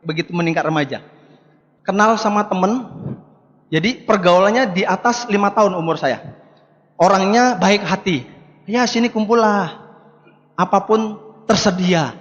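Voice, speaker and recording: High-voiced motivational speaker, echoing hall. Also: male voice, moderate pace, pauses often, fairly bright tone, highs cut off. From Bali, Indonesia. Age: 50s. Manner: conversational, rhetorical questions to the listeners. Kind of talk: motivational talk to an audience. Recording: echoing hall, long reverb.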